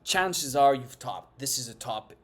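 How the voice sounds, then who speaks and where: A man's voice: mid-range voice, animated, unhurried, fairly bright tone. Emphatic man with a Greek accent. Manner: emphatic and lecturing, with many tag questions and taunts. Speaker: finance channel host. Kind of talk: opinionated market commentary to camera.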